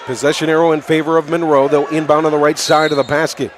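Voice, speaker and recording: A man calling live basketball play-by-play for radio in clipped phrases, excitable and raising his voice on big plays. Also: a little high, fast talker. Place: indoor high school gymnasium. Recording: live from noisy gym, crowd behind. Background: crowd and bouncing basketball.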